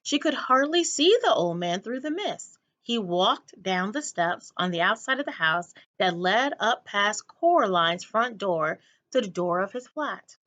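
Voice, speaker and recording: A woman reads a children's story aloud as expressive narration. Her pace is unhurried and her medium-pitched voice moves up and down in a lively way. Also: highs cut off. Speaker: read-aloud reader, teacher.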